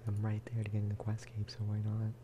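Softly whispering man, low and even. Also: quick pace, noisy background. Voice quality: soft, breathy whisper. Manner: relaxed, casual, gentle. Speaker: video game streamer and host. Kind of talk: soft-spoken ASMR gameplay commentary.

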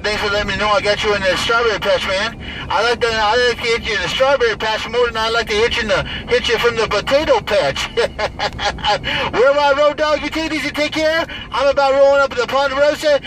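A man's voice: extremely high pitch, steady tone, a little fast. A man CB radio operator bantering in a showy, repetitive way, chanting call signs and catchphrases. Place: inside a moving vehicle. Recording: noisy in-car recording, distant voice.

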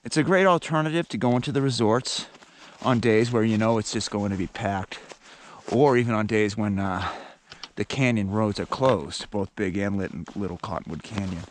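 A man's voice: lowish voice, moderate pace, slight background noise. A man casually narrating a backcountry ski tour outdoors, rambling with many fillers. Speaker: ski vlogger. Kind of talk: casual narration while skiing. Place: outdoors on a snowy mountain trail.

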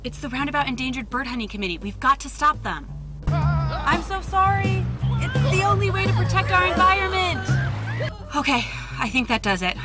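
A woman's voice: high voice, big pitch swings, moderate pace, over music. A woman playing an earnest, overdramatic park ranger who loves birds, in a comedic game cutscene. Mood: dramatic, grateful.

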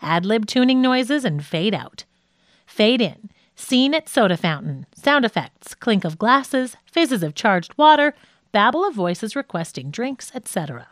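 Audiobook narrator, female, higher voice with very animated intonation, recorded dry and close. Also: a little slow, no echo. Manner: reads quoted radio dialogue expressively.

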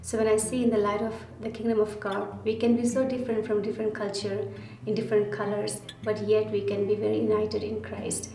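A woman in her thirties from India, speaking in a steady, measured way with an Indian accent. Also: medium pitch. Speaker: interviewee. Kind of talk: reflective testimony. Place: indoor studio with dark backdrop. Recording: roomy, slightly distant, guitar under. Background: soft guitar music.

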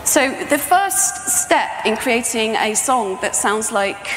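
A woman's voice: on the high side, lively intonation, takes it slowly, fairly bright tone, soft background music. A middle-aged woman giving an informal, explanatory conference talk as a sound artist. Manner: conversational, explanatory. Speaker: sound artist presenting a project. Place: indoor talk venue with projection screen, on stage. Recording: echoey hall, faint background noise.

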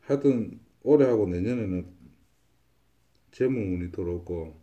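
A man's voice: lowish voice, animated, measured pace, dark tone, muffled recording. A man giving a fortune-telling consultation, stating predictions confidently and repeating himself. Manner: confident, repetitive pronouncements. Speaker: fortune teller.

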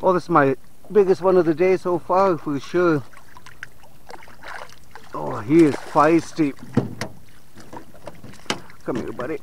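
A man vlogging from a small boat on a lake, chatting casually to viewers and getting excited as he fishes. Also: on the high side, slow pace, creaky, pauses often, a little hiss or hum. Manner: excitable, talks to viewers as "you guys". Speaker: fishing vlogger. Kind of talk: casual on-water fishing commentary.

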